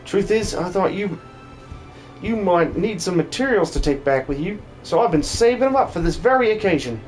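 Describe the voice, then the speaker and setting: A man's voice: high-pitched, lively intonation, moderate pace, soft background music. A man voicing a hearty, plain-spoken farmer with a folksy, welcoming manner in a game dub. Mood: hearty, grateful.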